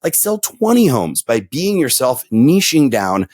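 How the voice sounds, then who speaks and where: A man's voice: medium pitch, unhurried, no echo. Guy telling a long story on a podcast, lots of ums, voice goes all over, dry sound. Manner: storytelling, animated, many fillers. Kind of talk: casual podcast conversation.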